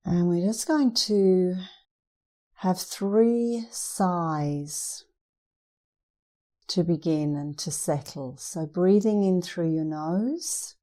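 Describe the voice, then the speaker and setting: A woman meditation instructor leading a guided meditation in a soothing, gentle, unhurried manner. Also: on the low side.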